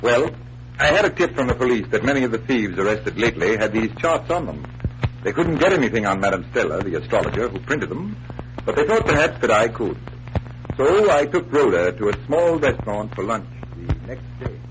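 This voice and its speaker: An actor playing a confident stage magician turned detective in 1940s radio style, theatrical, deliberate and instructing. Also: male voice, mid-range voice, very animated, natural voice. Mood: businesslike. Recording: dull, noisy 1940s radio recording.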